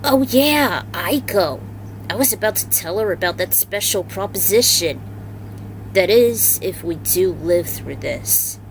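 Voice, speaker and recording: A woman's voice: mid-range voice, slight background noise, low-quality recording. A woman voicing a casual, wordy, slangy male action hero in a story reading. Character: casual, chatty male action hero and would-be film director.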